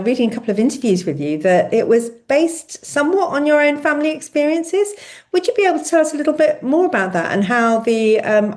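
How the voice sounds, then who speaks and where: A woman hosting a virtual book launch, speaking with frequent ums and restarts. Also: on the high side. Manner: warm-up host, hesitant with many ums. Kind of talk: hosting an online book event.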